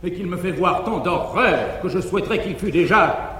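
An actor playing a garrulous, fearful but frank male valet, delivering quick, emphatic comic declamation on a 1950s live stage. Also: high-pitched, expressive, fairly bright tone. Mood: mocking, confiding. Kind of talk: classical verse-era stage acting. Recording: echoey 1950s live theatre recording, reverberant hall.

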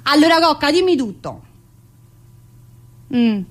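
A woman's voice: high-pitched, sing-song, takes it slowly, exaggerated voice. A woman playing a cheeky, needling female prison inmate in a colloquial theatre monologue, with a southern Italian accent and a teasing, familiar manner. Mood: playful, mocking. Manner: teasing, familiar, full of rhetorical questions. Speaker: stage actress performing a monologue. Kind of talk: theatrical monologue in colloquial dialect-tinged speech.

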